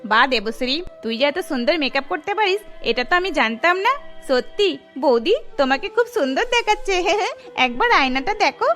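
Woman acting a haughty high-pitched young sister-in-law. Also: lively intonation, moderate pace, pushed and bright. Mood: falsely sweet. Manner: snide, disdainful. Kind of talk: cartoon story voice acting. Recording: music under the voice.

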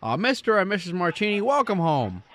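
A modest, plainspoken small-town fellow in a radio drama. His delivery is folksy and halting, with pitch that moves up and down a great deal. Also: very animated, fairly bright tone, slight background noise. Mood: busy, cheerful. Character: earnest, kindly small-town man, modest but plainspoken.

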